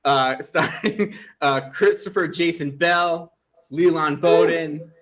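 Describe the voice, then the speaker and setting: A man with a slightly high voice reads screen directions for a live script reading. His delivery is informal and a bit halting, like a writer presenting his own work. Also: a bit bright. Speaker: writer and stage-direction reader.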